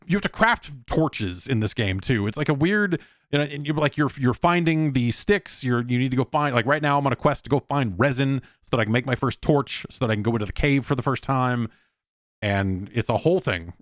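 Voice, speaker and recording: A man's voice: medium pitch, dry recording, studio quality. A man hosting a solo podcast about games, talking casually in a rambling, conversational way as if thinking aloud. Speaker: podcast host. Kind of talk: casual solo video game talk.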